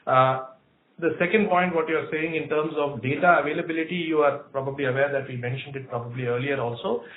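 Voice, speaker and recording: Company executive on an echoey phone line. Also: male voice, mid-range voice. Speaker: company executive answering analysts. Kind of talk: earnings call answers, spoken off the cuff. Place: room on a conference call. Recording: roomy, narrow phone-like line with faint noise.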